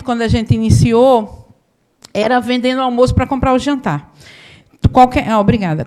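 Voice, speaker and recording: A woman's voice: fairly high pitch, darkish tone. A woman, an accountant and business owner telling her story in a conversational live talk to an audience. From Paraíba, Brazil. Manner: conversational, often asks the audience "né?".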